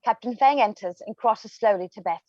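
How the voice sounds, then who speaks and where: A woman's voice: on the high side, fairly quick, mellow, dry recording, dull audio. A woman narrating scripted stage directions for a play reading.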